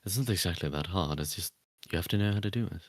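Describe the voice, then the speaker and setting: Blunt, sweary man with a very low voice. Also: fast talker, dry recording, studio quality. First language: Swedish. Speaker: podcast guest, ASMR roleplay creator and streamer. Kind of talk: casual podcast chat.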